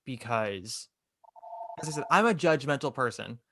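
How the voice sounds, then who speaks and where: A man's voice: medium pitch, expressive, normal speed, background noise. A young man, hosting a casual interview and talking in a candid, chatty, opinionated way. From New York. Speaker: podcast host.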